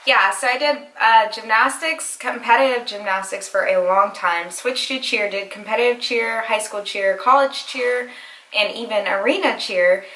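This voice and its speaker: A woman's voice: on the high side, moderate pace, pushed and bright. A young woman from the United States chatting casually with her husband on her vlog, rambling and laughing often. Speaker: vlogger. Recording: echoey room.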